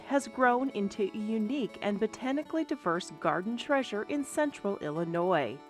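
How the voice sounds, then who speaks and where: A woman reads scripted narration for a TV feature. She has a higher voice and a lively, swooping pitch. Soft music plays beneath her.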